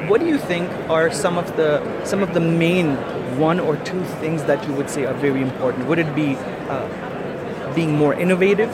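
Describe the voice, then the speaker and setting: A man hosting an interview, building long questions haltingly and repeating words as he goes. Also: fairly high pitch. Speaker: podcast host and interviewer. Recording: very noisy location, urban background noise.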